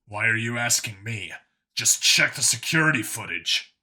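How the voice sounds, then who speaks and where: A blunt human male detective in a sci-fi audiobook, played by a man. He sounds irritable and defensive, with a very bright, forceful tone and a husky grain at a middling pitch. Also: lively intonation, moderate pace, full character voice. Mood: irritated, defensive. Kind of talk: audiobook character acting.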